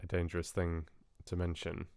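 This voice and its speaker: A man's voice: low voice, completely flat delivery, normal speed, darkish tone, dry recording, clean studio sound. A man hosting a livestream, chatting casually with viewers in a friendly, hesitant way with trailing asides. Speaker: livestream host. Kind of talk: casual chat with live viewers.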